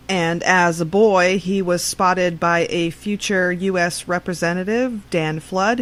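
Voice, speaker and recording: Low-pitched woman hosting a radio segment. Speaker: radio show host. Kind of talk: informal radio news segment, obituary reading.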